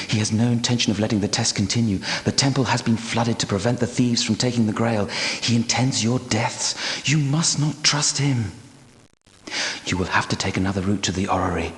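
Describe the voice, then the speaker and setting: An actor playing a grave, courteous guardian knight and temple architect in an adventure game, speaking in a formal, archaic, measured way. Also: male voice, lowish voice, fairly bright tone, natural voice. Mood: urgent, afraid. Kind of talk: scripted adventure game character dialogue. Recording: echoey hall with long reverb.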